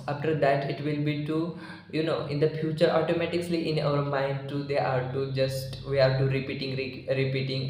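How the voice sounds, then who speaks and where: Young indian guy, marathi first language, earnest vlog tips into a phone, super echoey room, faint hiss. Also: mid-range voice. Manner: earnest, loose non-native grammar, many "you know" fillers. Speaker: English-learning YouTuber. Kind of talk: talking-to-camera advice vlog. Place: small indoor room, plain wall.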